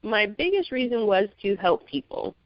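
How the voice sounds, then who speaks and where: A woman from Miami, Florida, a magazine publisher giving laid-back interview answers, laughing often and pausing with fillers. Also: medium pitch, sing-song, no room sound, muffled recording.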